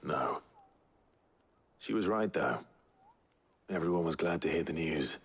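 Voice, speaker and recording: A man's voice: a bass voice, animated, unhurried, breathy edge, exaggerated voice. An actor playing a brooding, earnest male fantasy hero in a video game, terse, solemn and restrained. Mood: calm, earnest. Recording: music behind the voice.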